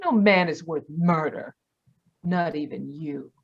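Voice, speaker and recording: A woman's voice: sits low, slow pace, vocal fry, husky, dull audio, heavily acted. A woman playing a proud, melodramatic murder suspect in an old-time radio mystery. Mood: scornful, defiant. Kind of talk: golden-age radio mystery acting.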